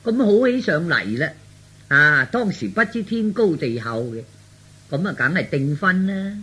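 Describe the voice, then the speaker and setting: A man's voice: a little high, big pitch swings, measured pace, sharp tone, husky, full character voice. A man voicing a fickle, self-justifying young man in Cantonese radio storytelling. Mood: defensive.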